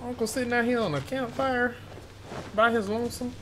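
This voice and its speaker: A man's voice: very high-pitched, fairly even intonation, fairly quick, over music, poor audio. A man giving casual gameplay commentary, informal and slangy as he talks to viewers. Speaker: gamer playing on a stream or video.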